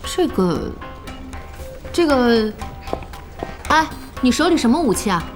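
An actress playing a brash, excitable, sharp-tongued young woman boss in an audio drama. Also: on the high side, slow pace, over music. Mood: explaining, puzzled. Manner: animated exclaiming, teasing, blunt.

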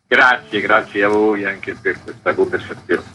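Older male interview guest, lowish bright voice, discursive, explanatory. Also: a little slow, breathy edge, rough recording. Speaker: archive president, interview guest. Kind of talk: radio interview, explanatory talk.

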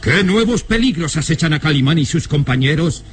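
A man's voice: a little high, animated, fairly quick, very noisy. A man narrating a radio serial in a dramatic, scripted style, solemn and suspenseful, with music behind.

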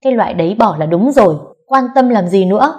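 A woman voicing a fiery, foul-mouthed younger sister who shouts and scolds in a high, sharp voice. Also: lively intonation, normal speed, lightly acted. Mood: scornful. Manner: loud and fierce.

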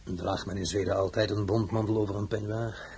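An actor playing a bitter, war-weary emperor in a radio drama, commanding, sarcastic and formal. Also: male voice, on the low side, little pitch movement, brisk, darkish tone, a little hiss or hum, lightly acted. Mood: curt, ironic. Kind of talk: Dutch-language radio drama acting.

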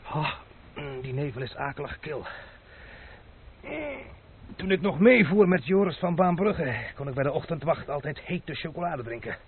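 An actor playing a commanding gentleman on the run in an old radio drama, speaking in a brisk, curt manner. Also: fairly high pitch, animated, lightly acted. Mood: weary. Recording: tinny old radio play with faint hiss.

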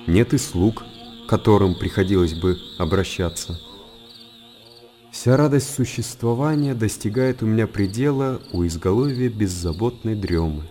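A man's voice: on the low side, moderate pace, mellow, slight background noise, lightly acted. A man playing a contemplative hermit monk who lives in seclusion, delivering a literary radio drama monologue. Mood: serene, detached. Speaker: narrator in a radio play.

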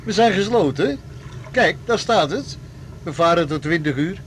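A man's voice: high voice, lively intonation, moderate pace. An actor playing a chatty, nosy ferryman, blunt and folksy, in a naturalistic radio drama. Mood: matter-of-fact. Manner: blunt, folksy, tags remarks with questions. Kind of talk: naturalistic 1980s Dutch radio drama acting. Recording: loud engine-like noise behind the voice.

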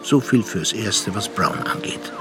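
A man's voice: lowish voice, expressive, unhurried, music in the background. An actor playing a thoughtful male narrator who recounts a friend's fate in a literary radio play. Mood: reflective, grave.

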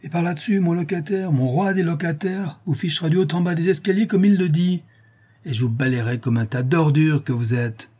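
A man's voice: fairly high pitch, brisk, mellow, husky, pitched above the performer's own voice. A man putting on a feisty old concierge woman. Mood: indignant. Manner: indignant, mock-heroic, colloquial. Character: feisty old female concierge, comic and scolding.

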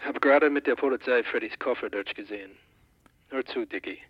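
An actor playing a young American friend talking casually over the telephone in a radio drama. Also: male voice, mid-range voice, a little fast, slightly rough, no echo. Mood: friendly, serious. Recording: dull, muffled telephone line.

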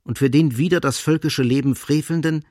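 A man playing the sombre narrator of a literary radio drama, reading with grave, measured and deliberate phrasing. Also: a little high, fairly even intonation, darkish tone, no echo, clean studio sound, close to an everyday voice. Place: studio.

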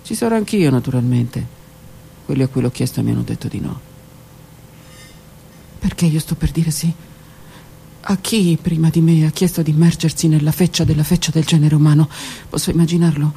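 Theatrical woman, creaky voice, dramatic monologue. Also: low voice, a little hiss or hum. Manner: theatrical, deliberate, with pauses and hushed near-whispered phrases. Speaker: theatre performer and prison drama workshop leader. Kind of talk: dramatic monologue of a first-person account.

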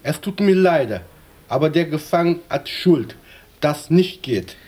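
An actor playing a curt Portuguese prison official in a radio drama, stonewalling in halting, ungrammatical German with a Portuguese accent. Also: male voice, a little high, expressive, darkish tone. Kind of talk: naturalistic radio drama acting.

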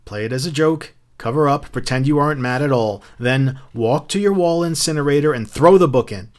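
A man's voice: medium pitch, brisk, pitched above the performer's own voice, close to an everyday voice. A man voicing an anxious old man in a storybook reading, urgently scolding and pleading. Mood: scolding, insistent. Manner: urgent, hushed-word pleading, imperative. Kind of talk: audiobook character acting.

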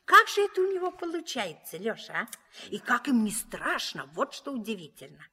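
A woman's voice: on the high side, big pitch swings, moderate pace, sharp tone, breathy edge, big-room sound, heavily acted. An actress playing a wistful, hurt housewife mother in a radio play, speaking in a homely, plaintive way. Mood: wondering, chatty. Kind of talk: Soviet radio play acting.

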